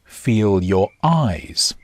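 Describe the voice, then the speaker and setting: A man guiding a body scan meditation in short, measured instructions with long pauses. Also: lowish voice, big pitch swings, no echo. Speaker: meditation guide. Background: nature sounds.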